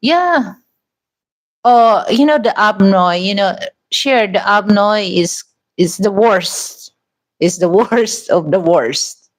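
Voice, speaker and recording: A woman's voice: medium pitch, slow, poor audio. A woman with a Filipino accent talking casually to her viewers in a vlog, rambling with many restarts and "you know"s. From Philippines. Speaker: vlogger.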